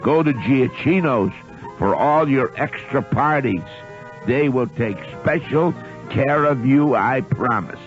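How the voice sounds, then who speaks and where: A male radio host delivers a live sponsor plug at an ordinary middle pitch. The sound is dull and lo-fi, with music playing clearly behind him. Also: lively intonation, takes it slowly. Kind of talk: live sponsor read and chat with a caller.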